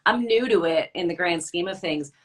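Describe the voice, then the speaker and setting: A woman's voice: fairly low pitch, moderate pace, breathy edge. A woman from New Jersey hosting a casual interview over a video call from a hotel room, upbeat and enthusiastic, often giggling. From New Jersey, USA. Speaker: podcast host.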